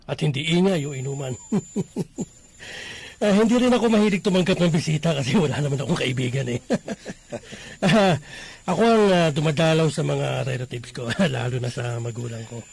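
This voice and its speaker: An affable Filipino lodger in a radio drama. His voice is fairly high, creaky and raspy. He is chatty and deferential, prone to chuckling. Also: lively intonation, moderate pace, darkish tone, heavily acted. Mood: cheerful, affable. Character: affable, quiet-living working man renting a room.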